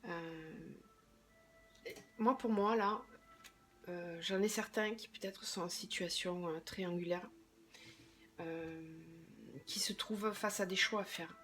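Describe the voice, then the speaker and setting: A slightly hoarse woman doing a tarot reading, thinking aloud hesitantly and often trailing off into a quieter voice. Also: fairly low pitch, fairly even intonation, slow pace, pauses often, soft background music. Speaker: tarot card reader.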